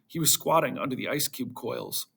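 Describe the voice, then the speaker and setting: A man reading a children's storybook aloud to schoolchildren in an animated narrating style. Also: medium pitch, moderate pace, creaky, slightly rough.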